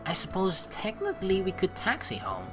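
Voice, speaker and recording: A woman playing through a game as host, reading its text aloud and chatting with playful, expressive commentary. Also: medium pitch, moderate pace, over music. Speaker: let's play host.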